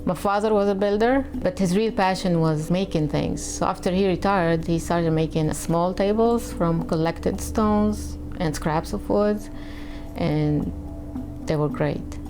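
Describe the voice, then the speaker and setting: A woman's voice: lowish voice, measured pace. A woman from Lebanon with a Lebanese accent, a potter telling her personal story in an interview. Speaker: artist, potter. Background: faint music.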